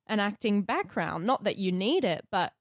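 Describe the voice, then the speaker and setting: A woman's voice: medium pitch, lively intonation, normal speed, no room sound, clean studio sound. A woman with an Australian accent chatting casually on a podcast, in an informal, sweary way. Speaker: podcast co-host and actor.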